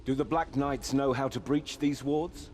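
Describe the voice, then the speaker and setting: A man's voice: mid-range voice, fairly even intonation, a little slow, a little mellow, a little hiss or hum, natural voice. A man playing a proud, pious, chivalrous knight, speaking in a formal, courtly way in fantasy game dialogue. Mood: courteous, cautious. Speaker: game character. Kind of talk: voice-acted fantasy quest dialogue.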